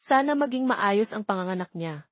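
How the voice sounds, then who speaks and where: Woman narrator as a caring worried mom in a romance story, gently fretting, muffled dry recording. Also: mid-range voice, animated, normal speed, no room sound, lightly acted. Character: concerned, caring middle-aged mother.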